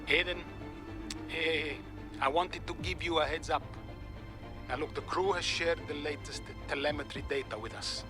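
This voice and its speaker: A fairly high-voiced male racing team boss, played by an actor in a game cutscene. He is earnest and supportive, smoothing things over with reassurance. Clear music plays behind him. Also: normal speed, lightly acted. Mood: reassuring. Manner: reassuring, conciliatory. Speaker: team principal. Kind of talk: video game cinematic dialogue.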